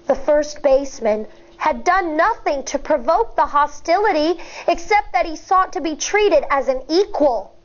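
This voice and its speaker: A woman with a high voice reads aloud like an elementary school teacher to young listeners. Her delivery is expressive and deliberate, shaped for storytelling and explanation. The recording sounds slightly dull and lo-fi. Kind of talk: children's read-aloud with teaching asides.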